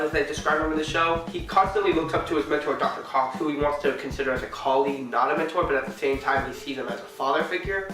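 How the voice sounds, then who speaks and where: A stuffy, congested man talks chattily and eagerly into the camera, with dry sarcastic asides. His somewhat high voice sits back from the mic in a very echoey room. Background music plays clearly under him. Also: far from the mic. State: stuffy, sick voice. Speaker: vlogger. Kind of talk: casual vlog commentary.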